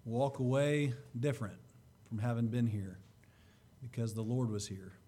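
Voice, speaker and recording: A man preaching a sermon and reading scripture, slightly hoarse, with a deliberate, emphatic delivery. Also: mid-range voice, mellow.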